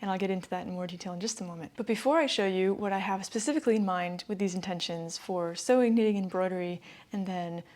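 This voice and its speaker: A woman's voice: mid-range voice, fast, hardly any pauses, darkish tone. A woman from the northeastern United States with an American accent, talking casually to camera about her sewing plans and laughing lightly between phrases. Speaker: sewing vlogger. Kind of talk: casual vlog talk to camera.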